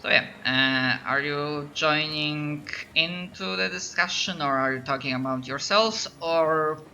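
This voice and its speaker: A man's voice: on the high side, a little slow, bright, forceful tone, a little hiss or hum. A man from Poland with a Polish accent, narrating as game master and often stopping to restart his sentences. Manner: hesitant, lots of restarts.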